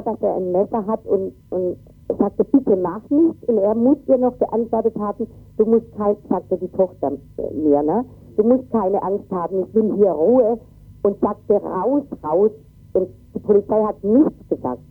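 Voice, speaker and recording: A shaken woman giving a halting, emphatic eyewitness account over the telephone. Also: mid-range voice, lively intonation, husky. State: shaken, in shock. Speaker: eyewitness interviewed by phone. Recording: tinny phone line, faint music.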